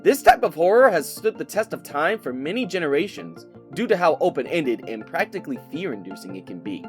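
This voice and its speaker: A woman game reviewer giving irreverent, scripted comedic narration in an animated manner. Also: low-pitched, fairly quick, faint music behind.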